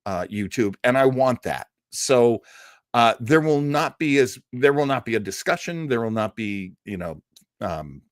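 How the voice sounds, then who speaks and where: A man's voice: mid-range voice, expressive, unhurried, dry recording, studio quality. A man hosting a live-streamed show, chatting informally with viewers in a rambling way full of asides. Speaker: host of a live-streamed radio nostalgia show. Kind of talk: casual chat, reading out and answering live viewer comments.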